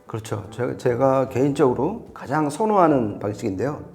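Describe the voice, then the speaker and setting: Bright, lively man explaining audio gear. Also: mid-range voice, normal speed, gravelly, soft background music. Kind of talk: conversational product explainer.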